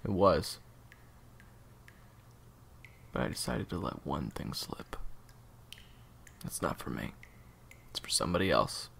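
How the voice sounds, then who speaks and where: A man's voice: rumbling low, animated, normal speed, vocal fry, a bit bright, a little hiss or hum, heavily acted. A man playing a weary, evasive assassin in an audio roleplay, talking in a dry, hesitant, sarcastic way. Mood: guarded, dry. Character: sardonic male assassin captor, weary and evasive.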